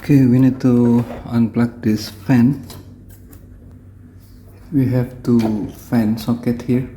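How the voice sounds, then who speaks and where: A man with an Indonesian accent giving a hands-on repair tutorial in halting, simple phrases. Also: mid-range voice, flat delivery, mellow, a little hiss or hum. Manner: halting, short phrases in simple non-native English.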